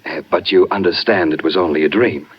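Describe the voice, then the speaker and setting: Male as sinister sanatorium doctor: very low voice, measured and condescending, muffled vintage radio sound. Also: fairly even intonation, husky. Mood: patronizingly pleasant. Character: smooth, controlling male phony doctor from a rest home. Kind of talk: 1950s radio drama acting. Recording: muffled 1950s radio recording.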